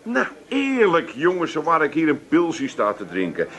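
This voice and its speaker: A man's voice: on the high side, wildly swooping pitch, moderate pace, very noisy. A man playing a glib, cheeky pub chancer with an Amsterdam accent in a comic radio play, persuasive and emphatic. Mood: solemnly convinced.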